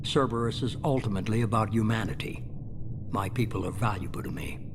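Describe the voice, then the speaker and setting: An actor playing a secretive organisation leader in a video game, measured, formal and condescending. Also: male voice, on the low side, lightly acted. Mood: cold, controlled. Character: cold, controlled, secretive leader of a shadowy organisation. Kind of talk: video game dramatic acting. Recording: clear ambient noise behind.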